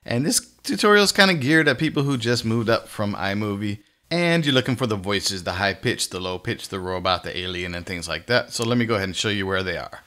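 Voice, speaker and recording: Bright, low-pitched man hosting a casual tutorial. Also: expressive, a little fast, hardly any pauses. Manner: informal, folksy step-by-step explaining. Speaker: tutorial host. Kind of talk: casual screen-recorded software tutorial.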